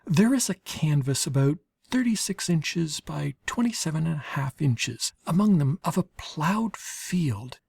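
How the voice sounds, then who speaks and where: A woman reads literary letters in a low-pitched, very breathy and husky voice. Her delivery is slow and measured, with deliberate pauses and an expressive, widely moving intonation, as in an audiobook. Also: no echo. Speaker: audiobook reader. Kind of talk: scripted literary narration of letters.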